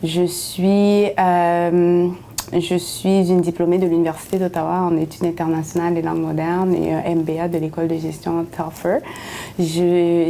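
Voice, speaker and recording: Woman in her 30s, steady low voice, lots of euhs and restarts, faint hum behind. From Haiti. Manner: hesitant, many pauses and restarts. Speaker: interviewee, city councillor. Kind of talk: personal testimony in an interview.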